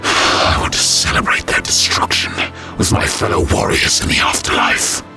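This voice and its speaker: A man voicing a grim, vengeful reptilian alien warrior in a science fiction audio story, with a harsh, low, gravelly voice and solemn, deliberate delivery. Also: over loud music, close to an everyday voice. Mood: grim, resolute. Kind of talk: dramatic audio story acting.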